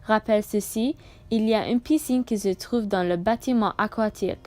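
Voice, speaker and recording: A woman's voice: medium pitch, moderate pace, a little mellow. Young woman reading a school-project voice-over. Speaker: student presenting a school project. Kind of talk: scripted voice-over narration.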